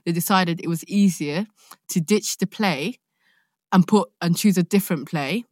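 A woman with a London accent in casual conversation, telling a personal story in a candid, expressive way. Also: fairly low pitch, moderate pace, dry recording, studio quality. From born in Nigeria, raised in London. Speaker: guest, actor.

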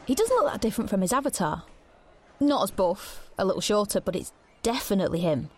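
An actress playing a defiant, protective teenage sister, blunt and sardonic, in an audio drama. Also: female voice, mid-range voice, sing-song, fairly quick, breathy edge. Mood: wry, irritated. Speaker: lead actor and narrator. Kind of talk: audio drama narration and dialogue. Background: background music.

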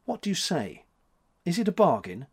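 A man's voice: a little high, wildly swooping pitch, normal speed, breathy edge, voice pushed up, heavily acted. A man voicing a stubborn, cheeky little boy with a bold manner in a storybook reading. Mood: bargaining. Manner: bold, bargaining.

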